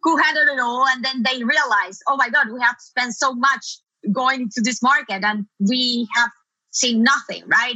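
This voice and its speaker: Latin american woman, spanish accent, bright punchy voice, explaining stuff as a guest, dry sound. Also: on the high side, no room sound, dull audio. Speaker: guest SEO consultant. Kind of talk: conversational interview answers.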